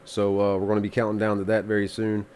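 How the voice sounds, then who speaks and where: A man's voice: on the low side, completely flat delivery, quick pace, a little mellow. A man hosting a live music review stream, congested from the flu, talking in a rambling, laid-back way with casual swearing. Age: adult with children.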